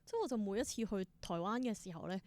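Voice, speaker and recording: A woman's voice: mid-range voice, animated, very fast, a little mellow, no echo. A woman with a Hong Kong Cantonese accent telling stories casually as an interview guest, thinking aloud in short, reflective bursts. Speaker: interview guest, independent theatre performer.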